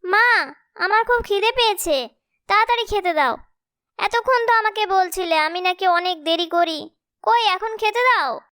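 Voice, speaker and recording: A woman's voice: very high voice, expressive, unhurried, pushed and bright, no room sound, studio quality, heavily acted. A woman voicing a spoiled, stubborn college-age cartoon girl who is whiny and defiant. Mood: demanding. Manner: whiny, petulant. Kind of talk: Bengali cartoon story acting.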